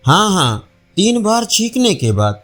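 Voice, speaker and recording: A man's voice: sits high, sing-song, a little slow, a bit bright, exaggerated voice. A man voicing a learned, self-assured village priest and astrologer in a storybook tale, pronouncing with confidence. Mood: certain. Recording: faint music behind voice.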